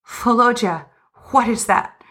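A woman's voice: medium pitch, very animated, normal speed, bright, forceful tone, voice pushed up. Woman reading an anxious, devoted wife. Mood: shocked, wounded. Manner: anxious, tender, questioning. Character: devoted, anxious revolutionary wife, tender and worried.

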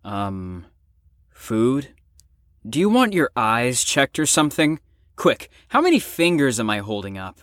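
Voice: medium pitch, big pitch swings, a little slow, a bit bright, no room sound, studio quality. An actor in an audio drama playing a stubborn, sarcastic young patient who is worn out from lack of sleep. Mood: sarcastic, evasive. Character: flippant, exhausted, stubborn young patient called Storm.

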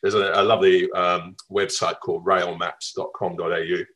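A middle-aged man from Melbourne with an Australian accent, telling travel stories in a radio interview, reflective and lively, with ums and restarts. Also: low-pitched, moderate pace. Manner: reflective, lively storytelling with fillers. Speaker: travel writer guest.

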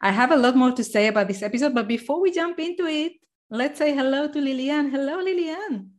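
A woman co-hosting an education podcast who talks eagerly, with quick bursts and some restarts. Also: high-pitched. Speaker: podcast co-host. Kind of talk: casual chat.

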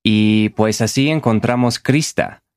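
Un hombre de Estados Unidos cuyo idioma nativo es el inglés, co-presentador de un podcast, que habla español de forma relajada y tranquila. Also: male voice, fairly low pitch, slow pace, clean tone, no echo, clean studio sound. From United States. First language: English. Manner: relaxed, easygoing, sprinkles in Mexican slang. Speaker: podcast co-host. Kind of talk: casual chat.

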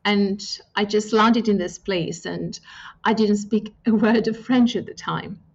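A woman's voice: mid-range voice, slightly rough. Thoughtful woman, muffled audio. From Greece. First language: Greek. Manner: thoughtful, with frequent pauses and fillers. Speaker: guest. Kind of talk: reflective interview answers.

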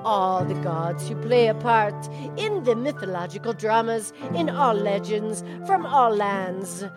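A woman voicing a gentle female cow in a low, soft, deliberate voice, sometimes reading a tale aloud in character. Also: over loud music, close to an everyday voice. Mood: solemn. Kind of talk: storybook narration in character.

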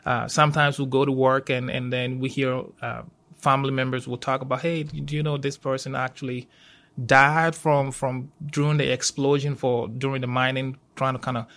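Ghanaian man with an even delivery. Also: medium pitch, normal speed. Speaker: university safety professional, interview guest. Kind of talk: conversational interview answers.

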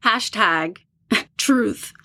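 A woman's voice: mid-range voice, very slow, sharp tone, exaggerated voice. A woman playing an easygoing, curious young roommate with a casual, playful way of talking in an audio drama. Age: young adult. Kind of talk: horror audio drama dialogue.

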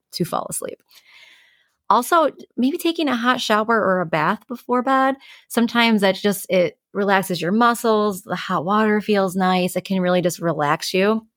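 A woman's voice: medium pitch, fairly bright tone, dry recording, studio quality. A woman co-hosting a podcast, giving advice in an informal, conversational way and explaining things through everyday examples. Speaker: podcast co-host giving sleep tips.

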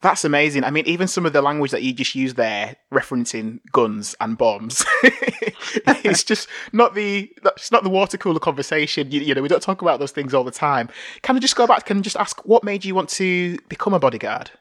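A man hosting a podcast interview over a video call, enthusiastic and affirming, quick to laugh. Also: fairly high pitch, sing-song, a bit bright, no echo, studio quality. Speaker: podcast host.

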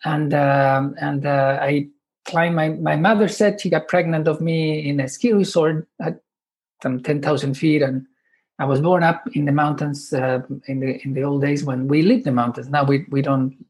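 A man in his fifties from Chile, speaking English, talking haltingly with many restarts as a podcast guest. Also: on the high side, fairly even intonation, darkish tone. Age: 50s. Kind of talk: podcast interview.

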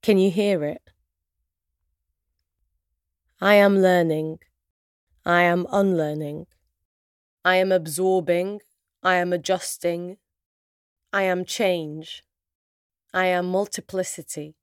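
A woman with a British accent and Arabic as her first language, reciting spoken word poetry in measured, deliberate phrases with pauses. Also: on the low side, fairly even intonation, clear, resonant tone, no echo, clean studio sound.

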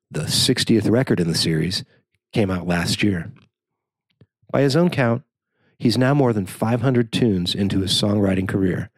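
Male narrator for a written arts profile. Low register, dry close sound. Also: creaky, husky, no echo, low-quality recording. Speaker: journalist narrator.

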